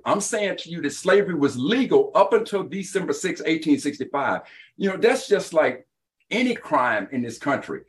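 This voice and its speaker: Emphatic man with a very animated pitch. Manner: emphatic, insistent, lecturing. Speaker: panel guest, author and activist. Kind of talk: panel debate.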